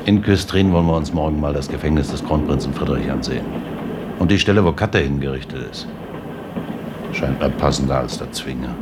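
An actor playing an earnest, pedantic young bridegroom grumbling in a radio play. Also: male voice, fairly quick. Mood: disapproving. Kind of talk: literary radio play acting. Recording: loud rumbling train noise behind.

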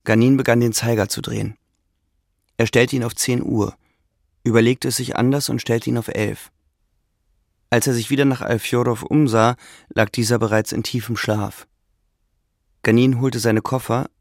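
A man narrating a literary radio play from a script in measured storytelling. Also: mid-range voice, fairly even intonation, vocal fry, dry recording, clean studio sound.

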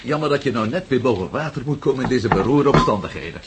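A male actor plays a headstrong man in a radio play, always pushing to act. His mid-range voice swings widely in pitch and is urgent and insistent. Clear noise sits on the old radio drama recording. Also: animated, fast talker, lightly acted. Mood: relaxed, curious. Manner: impulsive, insistent. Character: headstrong, urgent man investigating a mysterious stone, just recovered. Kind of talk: Dutch radio play acting.

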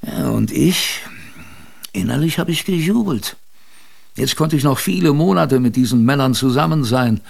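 A man playing an older adventurer who recalls his youth in a creaky voice, with wistful, conversational radio drama narration. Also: mid-range voice, darkish tone. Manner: conversational, wistful, reflective storytelling.

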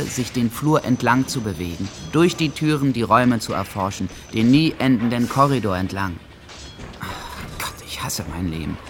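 A man playing a brooding, alienated teenage boy, narrating dark writings intensely and deliberately in a radio drama. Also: medium pitch, slight background noise, lightly acted. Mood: bleak, grandiose, then despairing.

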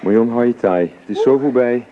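A man's voice: medium pitch, a little fast, muffled recording, crowd noise, natural voice. An actor in a radio drama playing a weary, anxious middle-aged man who halts and repeats words to soothe a sick young woman. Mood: strained, tender. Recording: noisy, echoey old radio play recording.

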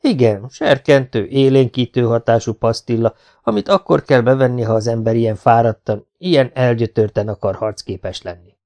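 A man's voice: medium pitch, mellow, natural voice. A man reading an audiobook, voicing a wounded, stubborn commando who speaks tersely and halts when moved. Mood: explaining.

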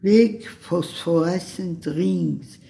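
Poetry reciter, extremely slow, gravelly creak, dark tone, long pauses, faint background noise. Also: mid-range voice. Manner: isolated words with long pauses.

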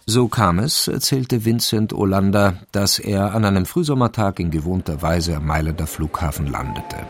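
A man narrating a radio crime drama in a measured, sober, literary way. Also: deep voice. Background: music.

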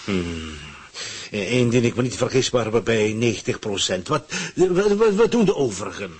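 An autocratic, impatient head of government in a satirical radio play. The voice is slightly husky and swings widely in pitch. He dictates with pompous authority, blustering and hesitating before each imperious order. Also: sing-song, normal speed. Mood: irritated.

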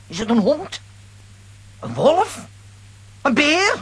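An actor playing a boastful, bumbling middle-aged comic hero in a children's radio play, blustering, stammering and exclaiming. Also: male voice, very high-pitched, sing-song, takes it slowly, a bit bright, heavily acted. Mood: alarmed, excited.

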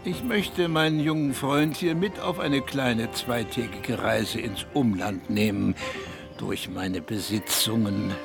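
Slow, gravelly country landowner. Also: male voice, mid-range voice. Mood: genial, sly. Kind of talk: radio drama dialogue. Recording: music behind the voice. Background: music.

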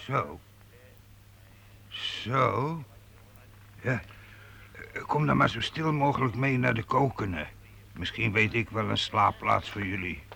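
A man's voice: on the low side, takes it slowly, vocal fry, pauses often, sharp tone, slight background noise, heavily acted. An actor playing a timid, anxious medieval gatekeeper in a radio play, hesitant and repeating his words. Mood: uneasy, helpful.

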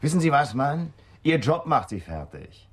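An actor playing a defiant, sardonic militant suspect under police questioning in a radio drama, mocking and provocative. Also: male voice, fairly high pitch, sing-song, moderate pace, husky. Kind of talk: German radio drama acting.

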